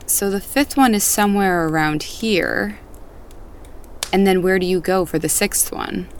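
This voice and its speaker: An actress playing a curious, thoughtful woman with a gentle, probing manner, in naturalistic audio drama dialogue. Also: medium pitch, unhurried, natural voice. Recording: faint outdoor ambient noise.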